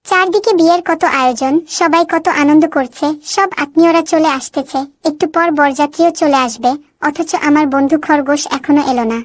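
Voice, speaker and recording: A woman's voice: sits high, brisk, no room sound, lightly acted. A woman voicing an animal friend in a children's cartoon. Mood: excited, concerned. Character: cartoon animal friend awaiting the rabbit at a wedding. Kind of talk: dubbed children's cartoon dialogue.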